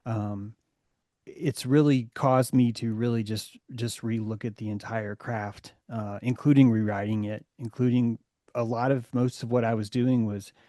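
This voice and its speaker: A man hosting a group call, speaking candidly and reflectively with halting restarts. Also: mid-range voice, steady tone, dark tone, no room sound, studio quality. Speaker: host. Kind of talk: casual chat.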